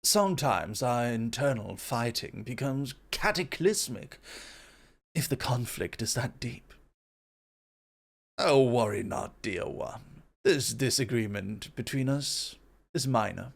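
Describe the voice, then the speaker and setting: Man playing a slow, self-assured god. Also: mid-range voice, animated, vocal fry, heavily acted. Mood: intrigued, assured. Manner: measured, formal, self-assured. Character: proud, composed god of creation and innovation. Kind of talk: ASMR roleplay acting.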